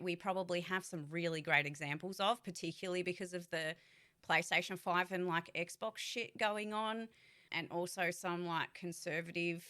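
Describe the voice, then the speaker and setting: A woman's voice: lowish voice, bright, forceful tone. A woman voice actor and streamer giving a casual vlog update, candid and rambling, swearing freely.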